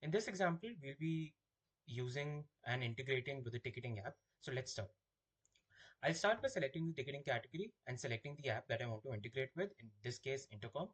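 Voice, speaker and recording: A man with a slightly husky voice giving a software demo, explaining things step by step as a product demonstrator. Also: mid-range voice, animated, fast.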